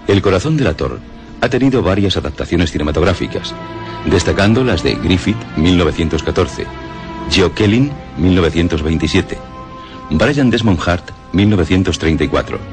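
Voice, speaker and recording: A deep-voiced man presents a radio programme. He reads scripted literary narration over clearly audible music. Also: lively intonation, moderate pace.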